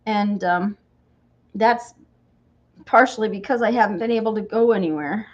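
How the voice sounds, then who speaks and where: A woman with a slightly croaky voice, worn by a summer cold, chats easily with viewers while she crafts, calling out their names between tasks. Her pitch sits in the middle of the range. The sound is a little dull and lo-fi. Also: moderate pace. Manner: chatty, greets viewers by name while working. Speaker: craft livestream host. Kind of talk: casual chat while crafting.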